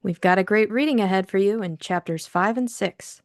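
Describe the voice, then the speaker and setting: A woman's voice: medium pitch, normal speed, no echo, clean studio sound. A woman reading a scripted introduction and novel narration as co-host and narrator.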